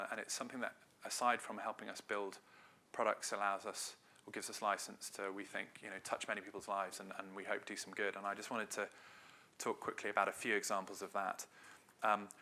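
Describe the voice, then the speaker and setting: Middle-aged man from Wales presenting at a conference; fairly low, steady voice with a bright, forceful edge; conversational and hedging. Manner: conversational, loose delivery with frequent hedges. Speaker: marketing director giving a talk.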